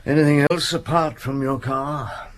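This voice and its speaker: An actor playing a shrewd, unflappable police chief inspector in a radio drama, with a dry, terse, questioning manner and a husky voice. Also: male voice, on the high side, fairly even intonation, unhurried, a little hiss or hum, natural voice. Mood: dry, businesslike.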